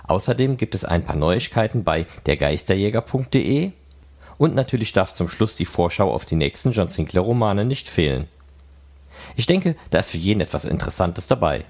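A man's voice: low voice, animated, normal speed, a little mellow. A man presenting and reporting as the host of a fan podcast. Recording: noisy, ambient background sound.

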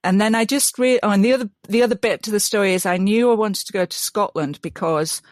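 A middle-aged woman talks eagerly in a casual interview, restarting her sentences and filling pauses with ums. Her voice sits at a middle pitch for a woman. The sound is dry and close, with no room around it. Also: dry recording. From Yorkshire, England. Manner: enthusiastic, restarts often, many ums. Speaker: guest, mud mason.

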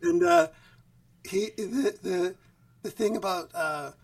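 Quiet man set back from the mic. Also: sits high, takes it slowly, creaky, distant mic. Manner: quiet, even speaking voice. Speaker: musician guest. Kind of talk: casual interview chat. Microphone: video call.

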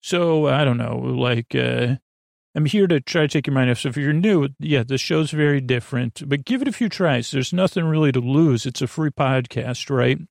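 A man hosting a bedtime podcast in a gentle, reassuring, conversational monologue that meanders, halts and interrupts itself. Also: mid-range voice, gravelly, dry recording, studio quality. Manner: meandering, halting, self-interrupting sentences that trail off. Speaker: podcast host.

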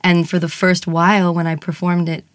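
A woman's voice: fairly low pitch, level tone, moderate pace, no echo, studio quality. A woman who performs burlesque and singing, talking frankly in an interview and laughing easily. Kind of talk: candid interview conversation.

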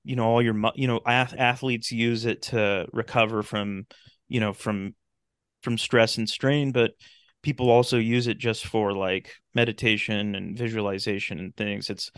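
A man speaking as a podcast guest in casual interview chat, explaining things in a rambling, halting way. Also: on the low side, steady tone, smooth, dry recording, studio quality. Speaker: podcast guest, talk show host and radio drama maker.

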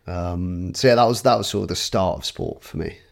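Podcast guest: young man from scotland, deep voice, laid-back and self-mocking, no real accent. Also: fairly quick, vocal fry. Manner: relaxed, self-deprecating, many ums. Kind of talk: casual interview chat.

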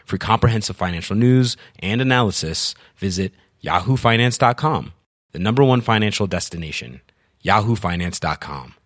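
A man's voice: fairly low pitch, expressive, normal speed, a bit bright, dry recording, clean studio sound. A man reading a scripted commercial with a confident announcer delivery. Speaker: ad voiceover.

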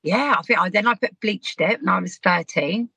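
Brief: woman, 50s, podcast guest, laughs through her stories, bright tone. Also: mid-range voice, normal speed, creaky, breathy edge, no echo. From Southampton, England. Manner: chatty, frank, laughs while telling stories. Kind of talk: casual storytelling chat.

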